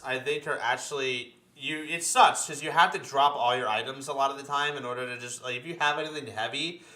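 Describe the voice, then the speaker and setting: A man's voice: medium pitch, pushed and bright. A man chatting casually and emphatically about video game monsters, as a host who swears a lot. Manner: profane, emphatic. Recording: roomy echo.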